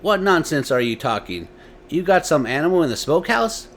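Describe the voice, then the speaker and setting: A man's voice: fairly high pitch, big pitch swings, a little fast, fairly bright tone, a little hiss or hum, pitched above the performer's own voice. Man acting blunt, impatient hunter father, raised voice. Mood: incredulous, impatient. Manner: demanding, blunt questioning. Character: gruff woodland hunter father, impatient and worried. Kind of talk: dramatized storytelling.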